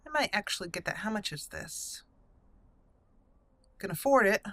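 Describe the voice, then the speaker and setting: A woman's voice: mid-range voice, lively intonation, normal speed, fairly bright tone, no room sound. A woman hosting a game playthrough with casual commentary, chatting and thinking aloud as she goes. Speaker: gaming channel host. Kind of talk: casual let's play commentary.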